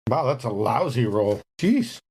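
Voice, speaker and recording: A man's voice: medium pitch, big pitch swings, measured pace, darkish tone, slightly rough. Male tabletop player, middle-range voice, casual back-and-forth banter.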